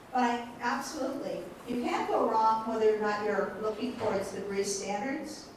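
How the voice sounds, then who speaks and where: Emphatic female expert with a big voice, heard from a distance in a very echoey, noisy room. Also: mid-range voice. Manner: emphatic, loud voice. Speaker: expert panelist. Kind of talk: adding remarks at a training session.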